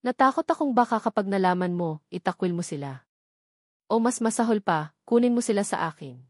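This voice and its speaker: A woman's voice: mid-range voice, lively intonation, fairly quick, no echo, clean studio sound, lightly acted. A woman with a Filipino accent voicing a remorseful, fearful single mother in a romance audio drama. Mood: apologetic, fearful.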